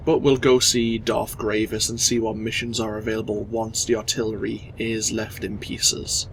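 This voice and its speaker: A man with a stuffy head cold giving relaxed, explanatory gameplay commentary as host. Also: on the low side, moderate pace, a little hiss or hum, low-quality recording. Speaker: let's play host.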